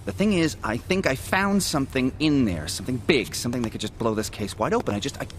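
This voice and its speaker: An actor playing a chatty, jittery, defensive forensic investigator in a video game, excitable and rambling with hesitations. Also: male voice, mid-range voice, fast talker, slight background noise, natural voice. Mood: nervous, chatty. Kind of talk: video game cutscene acting.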